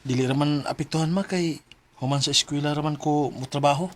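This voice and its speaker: A man's voice: fairly high pitch, fairly even intonation, brisk, close to an everyday voice. An actor playing an earnest, troubled young male college student in a radio drama. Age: young adult. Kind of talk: Filipino radio drama acting.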